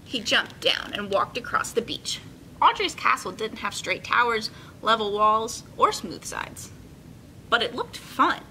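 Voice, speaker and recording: Young female children's librarian, very lively intonation, encouraging, with some room rumble behind her. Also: measured pace, rough recording. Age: young adult. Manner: expressive, encouraging. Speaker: children's librarian hosting yoga storytime. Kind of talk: picture-book reading and friendly instruction for children. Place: indoor children's room with carpeted steps. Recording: clear room noise, low rumble.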